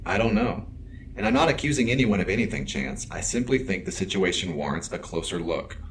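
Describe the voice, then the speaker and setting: An actor playing an earnest, principled android security officer in a science fiction audio drama, speaking in precise, formal sentences. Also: male voice, deep voice, animated, slight background noise, poor audio. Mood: concerned, guarded. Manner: precise, formal, measured wording.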